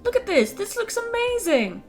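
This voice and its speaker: A woman's voice: extremely high pitch, sing-song, moderate pace. Conversational woman video essayist over faint music. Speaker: video essayist, film critic. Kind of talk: opinionated video essay commentary.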